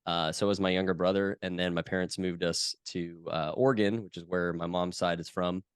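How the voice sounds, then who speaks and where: Thirtysomething guy, low smooth voice, dry close sound, laid-back rambling chat. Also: a little fast, dry recording. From West Coast of the US. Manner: relaxed, chatty, lots of fillers. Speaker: podcast guest. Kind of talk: casual conversation.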